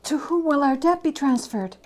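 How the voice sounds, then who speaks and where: An anxious young wife acted by a woman reader speaks in a storybook tale. She is mild and patient, with a high, tentative, halting voice. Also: animated, darkish tone.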